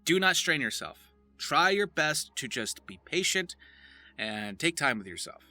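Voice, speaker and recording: Sharp, animated man giving casual tutorial. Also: on the high side, measured pace, soft background music. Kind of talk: loose, casual instructional talk.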